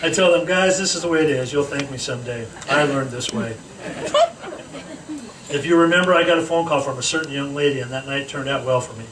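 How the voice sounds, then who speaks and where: A man reading a comedic roast speech aloud to a gathering, teasing with deliberate pauses. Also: mid-range voice. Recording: echoey room, distant mic, noisy background.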